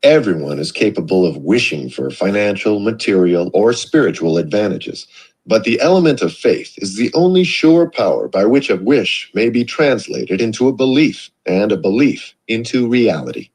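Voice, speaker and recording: Reader: adult man, husky tone, lively pitch, steady read-along narration. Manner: measured, expressive reading. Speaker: audiobook reader.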